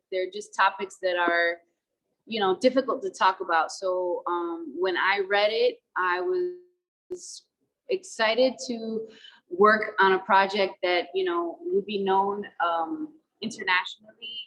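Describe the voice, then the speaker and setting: A woman's voice: medium pitch, fairly even intonation, unhurried, a bit bright, rough recording. A woman in her thirties, from the Dominican Republic, talking casually over a video call about her acting work, mixing Spanish and English with many fillers. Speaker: actress interviewed about a film.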